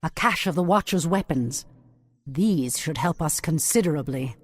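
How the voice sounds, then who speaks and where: Proud elf priestess warrior: low voice, earnest, regal, determined, dry studio sound, fantasy game. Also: female voice, expressive, moderate pace, slightly rough, no echo. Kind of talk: dramatic video game acting.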